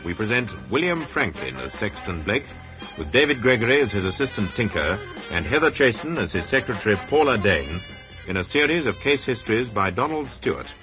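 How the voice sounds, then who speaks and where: A man's voice: fairly low pitch, big pitch swings, fairly quick. A man announcing a radio drama in a scripted introduction. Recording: loud theme music behind.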